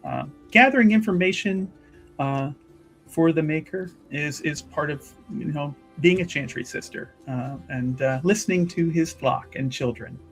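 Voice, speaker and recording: A man playing a tabletop roleplaying game, describing what his character does in a deliberate, wry way with pauses. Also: a little high, soft background music.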